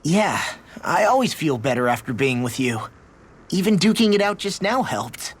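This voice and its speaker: A man's voice: a little high, big pitch swings, normal speed, husky. Teenage boy fighter, video game, brash, earnest, informal, very varied intonation. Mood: earnest, admiring. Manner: informal, pushy but earnest. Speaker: voice actor in a fantasy video game. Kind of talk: video game cutscene dialogue.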